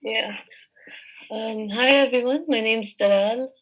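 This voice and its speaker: A young woman in her twenties from Jordan giving a slide presentation over a video call, thoughtful and pausing often on fillers. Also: on the high side, fairly bright tone, low-quality recording. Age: 20s. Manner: thoughtful, with frequent filler pauses.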